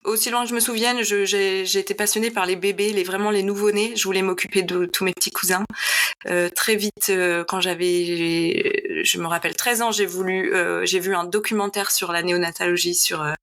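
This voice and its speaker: A woman's voice: medium pitch, steady tone, fairly quick, a bit bright. A woman in her early forties from northern France, telling personal stories in a casual, chatty interview style with the odd English phrase. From Lille, northern France. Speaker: podcast guest, paediatric nurse.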